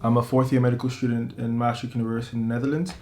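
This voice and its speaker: A man in his twenties, a medical student, talking casually to the camera in a relaxed way, often restarting his sentences. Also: medium pitch, very fast, faint music behind. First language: English. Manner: relaxed, repeats words and restarts, many ums. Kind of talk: casual talking-head vlog.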